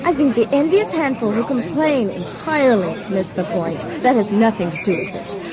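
A woman's voice: fairly high pitch, expressive, normal speed, close to an everyday voice. An actress playing a gushing, earnest woman enthusiast being interviewed in a radio drama. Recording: crowd noise behind the voice.

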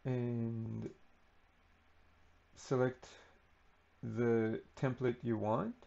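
A man giving an unscripted screen-recording walkthrough of software, relaxed and unhurried with frequent ums and uhs. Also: mid-range voice, creaky, lo-fi sound. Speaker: software tutorial presenter.

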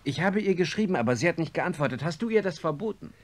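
An actor playing a wealthy, impatient industrialist in a radio drama, with a commanding manner. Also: male voice, on the high side, animated, quick pace, close to an everyday voice. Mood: agitated, angry. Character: wealthy industrialist reborn in a young body, imperious, impatient. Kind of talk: German radio drama acting. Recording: hissy radio play recording.

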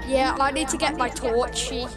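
A woman's voice: high voice, steady tone, normal speed. A woman chatting casually and playfully as she plays a game with friends. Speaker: gamer playing with friends. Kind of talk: casual playful gameplay chat. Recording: game voice chat under very loud music. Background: very loud game music.

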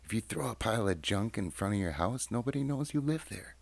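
A man's voice: on the low side, lively intonation, a little fast, gravelly, no echo, voice pushed up. A man reading aloud and voicing a messy, cheerful male pack rat in a storybook, in a chatty, breezy way.

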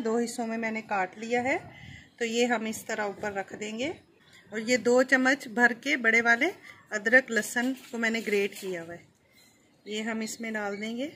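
A woman hosting a cooking channel, calmly explaining each step as she cooks at an outdoor stove. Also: a little high, slight background noise.

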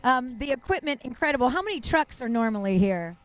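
A woman's voice: high-pitched, very animated, quick pace. A middle-aged woman working as a TV field reporter, chatting effusively into a handheld mic while interviewing live on location. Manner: gushing, effusive. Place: outdoors at a fire station. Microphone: handheld reporter microphone.

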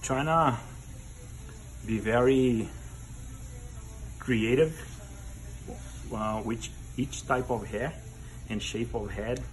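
A man in his thirties giving hands-on barber instruction to camera in simple, halting phrases with non-standard grammar, recorded indoors a few steps from the camera. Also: medium pitch, a little hiss or hum, low-quality recording. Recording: music laid over the voice. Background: music.

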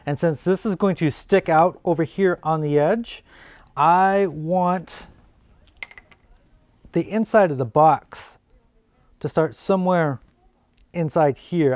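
Workshop host, forties, man; slightly high voice, laid-back, thinks out loud. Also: unhurried, long pauses, dry recording, clean studio sound. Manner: relaxed, thinking aloud while working. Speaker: woodworking channel host. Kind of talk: casual workshop how-to narration. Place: indoor home workshop.

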